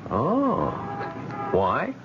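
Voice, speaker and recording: An actor playing a cocky, suspicious young hoodlum with slangy, tough street talk in a 1970s radio drama. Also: male voice, mid-range voice, wildly swooping pitch, very slow, full character voice. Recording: muffled, noisy 1970s radio broadcast recording.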